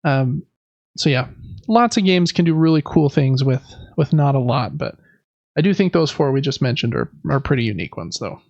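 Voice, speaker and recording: A man's voice: mid-range voice, sing-song, moderate pace, gravelly, darkish tone, dull audio. A man chatting casually as a podcast host. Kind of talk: casual chat about video games.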